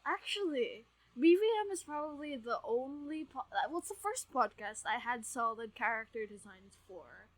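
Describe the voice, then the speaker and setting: Woman, twenties, fairly high voice, excitable, gushing, casual fan podcast co-host. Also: moderate pace, hardly any pauses. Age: 20s. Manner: gushing, excitable, swears freely.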